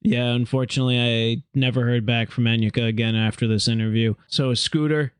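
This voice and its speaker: A man's voice: medium pitch, steady tone, brisk, clear, resonant tone, dry recording. A man hosting a casual interview podcast, friendly and talking with restarts. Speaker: podcast host.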